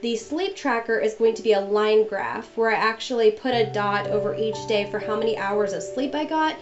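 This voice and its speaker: A woman's voice: mid-range voice, moderate pace. A young woman narrating a journal-planning voice-over in a chatty, friendly way while she gets over a cold. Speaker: bullet journal vlogger. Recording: dull lo-fi voice-over with music behind.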